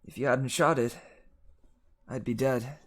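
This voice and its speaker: A man's voice: mid-range voice, fairly even intonation, a little slow, close to an everyday voice. A man reading a children's book aloud, speaking as an uneasy, candid young boy with a hesitant, earnest manner. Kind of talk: dramatic children's audiobook reading.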